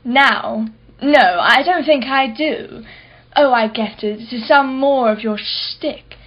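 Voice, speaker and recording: A woman's voice: a little high, slow, muffled recording. An actress playing a wealthy, condescending and sardonic female art collector in a radio play. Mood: knowing. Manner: condescending, mocking, self-satisfied.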